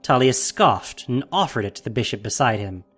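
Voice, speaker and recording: A man's voice: medium pitch, lively intonation, normal speed, fairly bright tone, husky, faint music behind. A man narrating a fantasy audio drama in a dramatic, scripted storytelling style.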